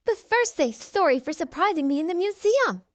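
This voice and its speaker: An indignant young girl superhero from a storybook, played by a woman reading aloud. She sounds high and animated, stubborn and pouting, in a dry recording. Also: fairly quick, no echo, muffled recording. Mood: hurt, stubborn.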